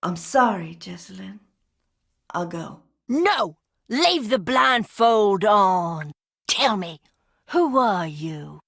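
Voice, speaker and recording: An actress playing an eccentric, bitter younger sister in a video game, theatrical and mercurial, giggly and menacing by turns. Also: female voice, mid-range voice, big pitch swings, slow pace, gravelly, a bit bright, no echo, studio quality, full character voice. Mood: sad, withdrawn, then unhinged, menacing. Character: eccentric, bitter, unhinged younger sister living hidden in a crypt. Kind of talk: video game character acting.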